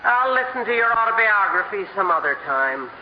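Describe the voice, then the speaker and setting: A woman's voice: medium pitch, big pitch swings, normal speed, a little hiss or hum, lightly acted. An actress playing a wry, skeptical woman with dry wisecracks in a 1950s radio comedy. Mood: sardonic. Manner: dry, sardonic. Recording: dull, echoey old radio broadcast.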